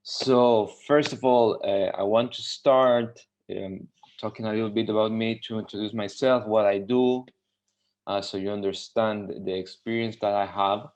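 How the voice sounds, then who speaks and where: A man's voice: fairly low pitch, steady tone, normal speed, darkish tone. A man from Mexico, a UX designer, teaching a live webinar tutorial with many fillers and restarts. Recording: dull lo-fi webinar audio.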